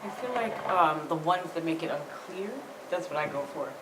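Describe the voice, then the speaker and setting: A woman's voice: sits low, normal speed. A woman tutor sharing her approach in a casual, thoughtful, hedging way. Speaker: writing tutor. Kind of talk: casual discussion. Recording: roomy distant mic with room noise.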